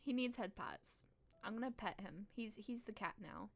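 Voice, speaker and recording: A woman streamer, playful and expressive, reading a visual novel aloud and chatting on a live stream. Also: mid-range voice, moderate pace, gravelly. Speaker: game streamer. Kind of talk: live stream chat and read-aloud narration.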